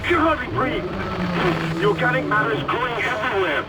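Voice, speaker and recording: Breathless male officer on radio, high-strained. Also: animated, fairly quick, far from the mic, over loud music. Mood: breathless distress. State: short of breath, distressed. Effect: radio transmission. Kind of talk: dramatic sci-fi game acting.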